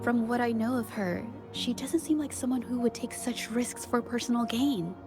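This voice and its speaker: Game role: gentle young female painter played by a woman, high voice, thoughtful and courteous, music behind. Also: normal speed, close to an everyday voice. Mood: thoughtful, puzzled. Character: gentle, earnest young woman painter turned amateur investigator. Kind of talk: English video game voice acting.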